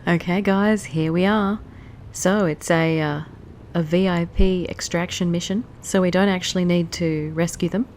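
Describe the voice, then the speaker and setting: A woman's voice: fairly low pitch, fairly even intonation, normal speed, a little mellow, a little hiss or hum. Chatty woman with a stuffy nose. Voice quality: nasal. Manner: chatty, easily distracted. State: stuffy nose from hay fever. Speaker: let's play host. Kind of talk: casual gameplay commentary.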